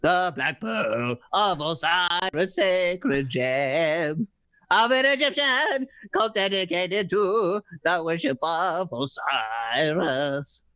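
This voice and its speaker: Very slow, halting amateur radio narrator. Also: medium pitch, lively intonation, sharp tone, clear, resonant tone, no room sound, studio quality. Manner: playful, sweary, breaking off from the script. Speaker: narrator and performer in radio play readings. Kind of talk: hammy, halting amateur script reading.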